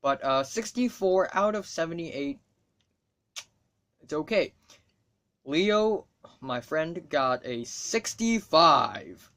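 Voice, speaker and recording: A teenage boy from Hong Kong, a native Cantonese speaker, chats to his camera in a slightly high voice at an unhurried pace. He rambles, swears without a second thought and makes wry asides about himself. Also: very animated, fairly bright tone. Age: teens. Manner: rambling, swears freely, self-mocking asides. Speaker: student vlogger. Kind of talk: casual talk to camera.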